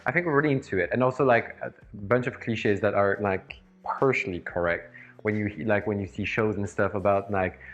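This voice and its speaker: A man's voice: fairly low pitch, a little fast, dull audio. French man in casual discussion. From northern France. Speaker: panel participant. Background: music.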